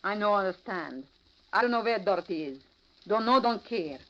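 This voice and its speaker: A woman acts out a surly, suspicious immigrant mother in an old radio serial. She has a foreign accent and speaks blunt broken English with a lively pitch. The sound is dull. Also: normal speed, close to an everyday voice. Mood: bitter, guarded. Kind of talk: 1940s radio drama acting. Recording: muffled old radio recording.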